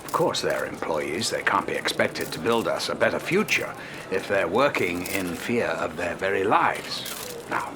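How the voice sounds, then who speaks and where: An actor playing a smooth, evasive politician who gives polished, reassuring answers in a game cutscene. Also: male voice, deep voice, big pitch swings, a little slow, background noise. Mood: measured, evasive. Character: smooth evasive politician, a glib European president. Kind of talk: scripted game cutscene acting, speeches and press answers.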